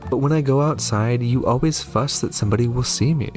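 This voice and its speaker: A man's voice: medium pitch, a little fast, a little mellow, faint music behind, close to an everyday voice. A man voicing a curious, whiny young boy in a horror game, plaintive and questioning. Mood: complaining.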